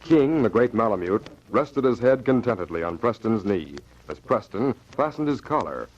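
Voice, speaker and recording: A man's voice: on the low side, very animated, normal speed. A man narrating and announcing an old-time radio adventure in a dramatic, scripted style. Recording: muffled, noisy old radio transcription.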